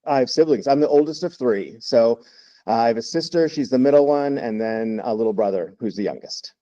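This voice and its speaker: A man in his fifties from Texas, a podcast guest telling his story in a relaxed, reflective way with many fillers. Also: mid-range voice, a little fast, dry recording, lo-fi sound. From Texas, United States. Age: 50s. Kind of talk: interview storytelling.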